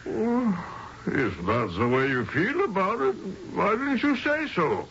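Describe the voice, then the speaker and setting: Sharp, blustering, exasperated father. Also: high voice, huge pitch swings, a little slow, heavily acted. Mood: exasperated, relenting. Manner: blustering, scolding. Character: exasperated, overbearing middle-aged father. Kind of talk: radio mystery drama acting. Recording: dull, hissy old radio broadcast.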